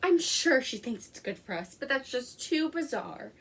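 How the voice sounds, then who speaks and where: A woman's voice: high voice, normal speed, creaky, a little hiss or hum, heavily acted. A woman reading a storybook expressively as an anxious, literal-minded little boy. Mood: fretful. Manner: expressive storybook read-aloud.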